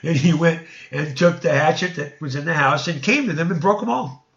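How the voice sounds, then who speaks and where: A man's voice: on the high side, brisk, creaky, a bit bright. A man voicing an indignant, righteous son declaiming against idols in a scripture reading, in a lo-fi recording. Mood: feigned innocence. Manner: declamatory, exhorting. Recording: dull, lo-fi sound.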